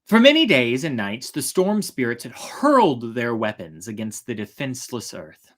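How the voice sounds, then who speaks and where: A storyteller narrating a folk tale in a theatrical way, with drawn-out, emphatic words. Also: mid-range voice, big pitch swings, fairly bright tone. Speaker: storyteller, host of a storytime show.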